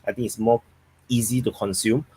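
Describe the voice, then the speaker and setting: A man's voice: mid-range voice, steady tone, fairly quick, mellow, slightly rough, poor audio. A man with a Singaporean accent hosting a live stream, rambling and thinking aloud while he reads viewers' chat.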